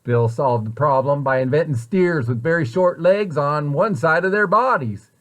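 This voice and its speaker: A man reading a children's picture book aloud with expressive, deliberate storyteller phrasing. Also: on the high side.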